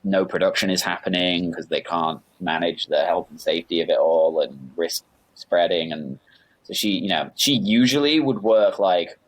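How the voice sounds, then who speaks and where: A young man guesting on an interview podcast, talking in a relaxed, rambling way with lots of fillers and restarts. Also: low-pitched, moderate pace, low-quality recording. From United Kingdom. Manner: rambling, laid-back, lots of fillers and self-corrections. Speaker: guest, music producer. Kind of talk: casual interview chat.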